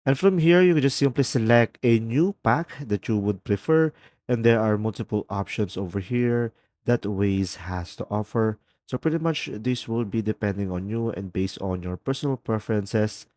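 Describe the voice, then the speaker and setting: A man's voice: fairly low pitch, normal speed, a little mellow, no echo. A man hosting a casual how-to tutorial, talking the viewer through each step. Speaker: tutorial host.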